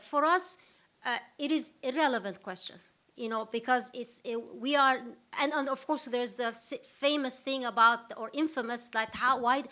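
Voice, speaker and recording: A woman panellist in a seminar discussion, speaking haltingly with many restarts. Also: sits high, bright, forceful tone.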